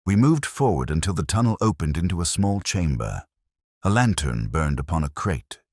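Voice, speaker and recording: A man narrating a scripted mystery story as an audiobook, in a measured, literary and solemn manner. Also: low-pitched, sing-song, darkish tone, dry recording, studio quality.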